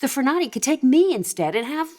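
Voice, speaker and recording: A woman's voice: high-pitched, sing-song, fast talker, darkish tone. A woman playing a distraught, pleading middle-aged mother in an audio drama, her delivery emotional and faltering.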